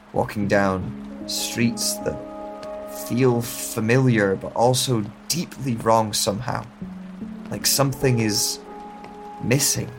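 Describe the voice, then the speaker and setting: A man narrating a first-person audio drama in a slow, hushed, introspective way, over a music score and the sound of rain. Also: on the low side, vocal fry, rough recording.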